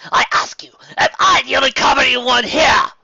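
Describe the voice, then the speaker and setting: A man's voice: very high voice, moderate pace, vocal fry, bright, forceful tone, raised voice, dull audio, voice pushed up, full character voice. A man voicing a cruel young stallion villain, berating and growling as an imperious leader in a fantasy story. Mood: furious.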